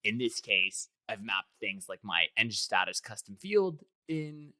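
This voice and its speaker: A man presenting a software tutorial in a conversational way, explaining things step by step. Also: fairly low pitch, very animated, measured pace, no echo. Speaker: software product demonstrator.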